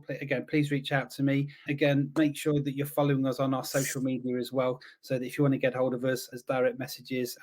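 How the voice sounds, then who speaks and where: A man hosts a casual advice show, talking quickly in a slightly high voice. He sounds brisk and upbeat, thanks viewers and keeps the conversation moving. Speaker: host.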